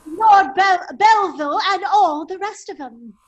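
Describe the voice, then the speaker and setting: A woman playing a foppish, teasing English gentleman hero in a theatrical radio play read, affectedly polite and giggly. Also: extremely high pitch, unhurried, lo-fi sound. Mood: gleeful mockery.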